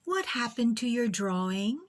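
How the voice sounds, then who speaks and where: Brief: woman playing picture-book schoolteacher, firm yet encouraging, instructive, animated intonation, measured pace. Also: on the high side. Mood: gently advising. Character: adult female schoolteacher, brisk, encouraging but firm.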